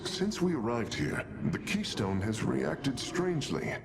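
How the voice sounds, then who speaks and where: A man's voice: medium pitch, wildly swooping pitch, unhurried, gravelly, music in the background, heavily acted. A man playing an earnest, analytical alien engineer in a video game, precise and formal in his speech. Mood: awed, analytical. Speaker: engineer and scientist. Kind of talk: video game cinematic dialogue. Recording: loud engine-like ship ambience behind.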